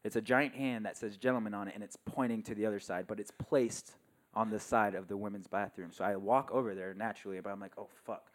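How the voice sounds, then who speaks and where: A man co-hosting a podcast in casual, crude banter, soft-spoken and laid-back, sitting a little too far from his microphone. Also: fairly low pitch, steady tone, quick pace. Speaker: podcast co-host. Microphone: podcast microphone held too far from mouth.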